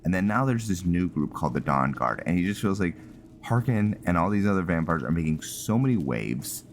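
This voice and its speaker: A man's voice: low voice, sing-song, fairly quick, slight background noise. A man giving casual commentary on a roleplay video game, muttering to himself and often repeating his words. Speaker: gaming content creator.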